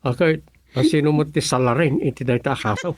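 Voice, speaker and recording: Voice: mid-range voice, a little fast, no room sound, lightly acted. A performer playing a curious listener who prods a gossip with short questions in a comedic radio drama. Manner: inquisitive, short prompting questions.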